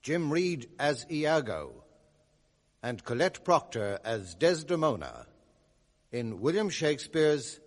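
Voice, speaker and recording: A man announcing a radio drama's cast in a slow, measured, formal way. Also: mid-range voice, very animated, big-room sound.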